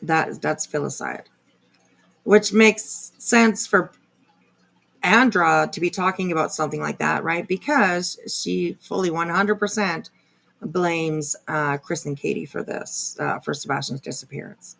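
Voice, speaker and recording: A woman's voice: low voice, measured pace, creaky, a bit bright. A woman giving casual live commentary as a stream host, rambling and sardonic, with a confrontational edge. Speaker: livestream host reacting to another creator's video.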